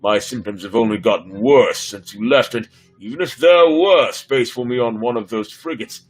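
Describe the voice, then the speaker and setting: A man acting a sorrowful, ailing president and older father, solemn and halting, in a sci-fi story reading. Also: mid-range voice, faint music behind, pitched below the performer's own voice, lightly acted.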